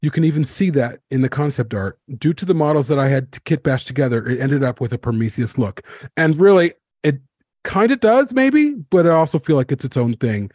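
A man's voice: fairly high pitch, expressive, brisk, darkish tone, no echo, clean studio sound. A man hosting a podcast roundtable, talking and reading out written replies. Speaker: podcast host.

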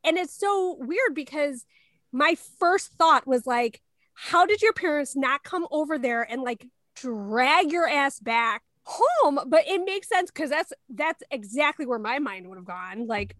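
High-voiced woman, chatty and jokey, says "like" a lot, dry close sound. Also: animated, measured pace, a bit bright, no echo. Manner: chatty, joking, full of "like" fillers. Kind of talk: casual podcast conversation.

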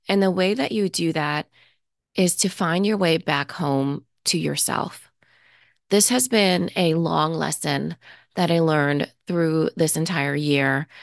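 A woman's voice: on the low side, vocal fry, no echo, studio quality. A woman hosting her own podcast, talking earnestly and thoughtfully about what she learned this year, sounding a little stuffy from a cold. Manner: earnest, thoughtful, with gentle restarts. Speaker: podcast host. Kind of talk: reflective solo podcast talk.

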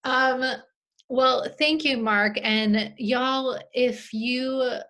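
A woman's voice: sits high, steady tone, drawn-out words, fairly bright tone. Bubbly woman hosting a podcast. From Ohio. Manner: bubbly, laughs often. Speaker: podcast host. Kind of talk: casual chat.

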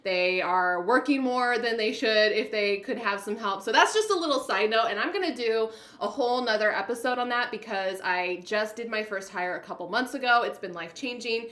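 A woman with a naturally loud voice hosting a podcast solo, talking in an upbeat, hyper and emphatic way. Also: on the high side, moderate pace, hardly any pauses, roomy sound. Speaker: podcast host, business coach.

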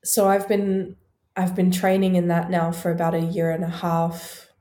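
Low, flat, mellow-voiced woman. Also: a little hiss or hum. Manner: measured, searching, frequent ums. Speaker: movement and sound artist. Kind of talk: reflective conversation. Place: home in Melbourne. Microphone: video call. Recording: roomy video call audio.

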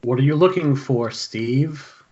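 A man's voice: medium pitch, measured pace, warm tone, natural voice. A man playing a cynical, goading horror villain in an amateur screenplay table read. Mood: sardonic. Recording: dull, lo-fi internet call audio.

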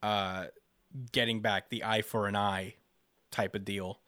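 A man with a fairly low voice chats analytically as a podcast co-host. He works through his points hesitantly and thoughtfully, recorded close and dry. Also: level tone, a little slow, sharp tone, dry recording. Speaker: manga discussion podcast co-host. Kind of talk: casual analytical chat.

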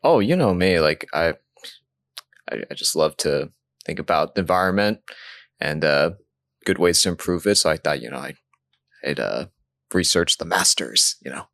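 A man playing a shifty older library patron in a comedy sketch, speaking in a halting, mock-earnest way. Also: very deep voice, animated, vocal fry, fairly bright tone, no room sound, pitched below the performer's own voice, exaggerated voice.

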